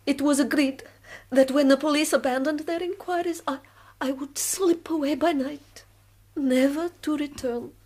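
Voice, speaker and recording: Woman playing high-voiced, solemn, doomed exile. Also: a little mellow, natural voice. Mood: confessional, weary. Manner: solemn, deliberate, dramatic. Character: proud, resolute, doomed Russian exile wife, tragic. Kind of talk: BBC radio drama acting.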